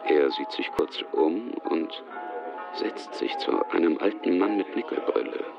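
A man's voice: low-pitched, unhurried, vocal fry, muffled recording, over music. A man narrating a radio drama from a script.

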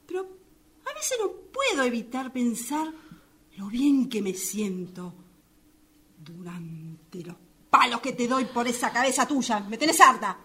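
A woman's voice: fairly high pitch, sharp tone, slightly rough, exaggerated voice. A woman playing a domineering, short-tempered, violent woman in a radio drama, with an Argentine accent and a mocking, theatrical manner. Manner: theatrical, mocking, erupting into threats.

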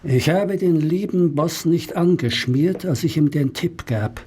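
A commanding police inspector in a radio play. His voice sits a little high and has a husky grain, with a clipped, businesslike delivery. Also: unhurried, a little mellow. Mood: pondering. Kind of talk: German radio play acting.

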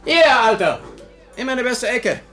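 An actor playing a brash, glib and slangy younger son in a 1950s German radio drama. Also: male voice, very high voice, lively intonation, moderate pace, a bit bright, soft background music. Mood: breezy, coaxing. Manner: cocky, slangy, offhand.

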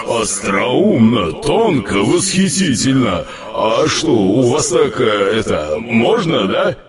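A man puts on a grumbling old demon, drawling slowly with sweeping, mocking intonation. A crowd murmurs in the background. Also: medium pitch, pitched above the performer's own voice.

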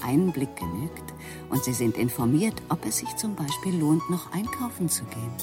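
A man's voice: a little high, very animated, moderate pace. A male actor playing a product announcer who recites household appliance features as scripted advertising narration. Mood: instructive sales pitch. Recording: voice under loud music.

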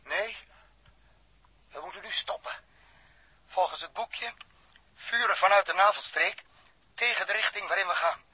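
Stranded young astronaut, high husky voice, forceful edge, brave, sixties radio play. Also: male voice, unhurried, pauses often, no echo, full character voice. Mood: careful, tense. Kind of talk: 1960s radio drama acting.

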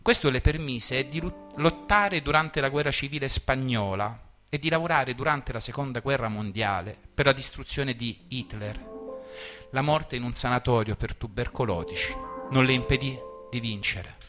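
A man performing a theatrical, ironic biographical monologue in a wry, deadpan manner. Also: mid-range voice, moderate pace. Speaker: stage performer. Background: music.

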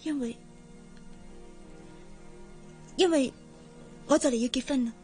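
女演員演繹聲線高、講港式粵語嘅傷心年輕女傭. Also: female voice, sits high, brisk, mellow, faint music behind, natural voice. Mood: hurt. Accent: Hong Kong Cantonese. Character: young housemaid, heartbroken, reserved, stubbornly proud. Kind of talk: radio drama acting.

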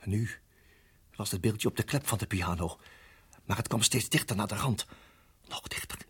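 An actor playing a tense, protective husband in a radio play, telling a dramatic story in measured, suspenseful phrases that drop to hushed tones. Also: male voice, on the low side, breathy edge. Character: Bob, husband recounting a deadly snake ordeal, protective, tense. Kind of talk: radio play acting, dramatic first-person narration.

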